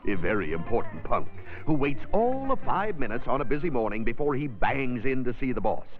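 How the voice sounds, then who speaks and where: A man's voice: medium pitch, sing-song, brisk, lightly acted. An actor playing an exasperated, sardonic car service man narrating a comic 1960s training film in slangy, theatrical style. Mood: sardonic griping. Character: wisecracking, exasperated car dealership service man griping about customers. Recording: tinny, band-limited 1960s film soundtrack, music behind.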